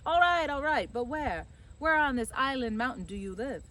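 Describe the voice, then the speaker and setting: A woman's voice: high-pitched, expressive, moderate pace, a bit bright, pitched above the performer's own voice, poor audio. A woman voicing a proud, teasing, lazy fisherman from a children's storybook, with exclamatory, mock-exasperated delivery. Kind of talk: children's storybook character reading.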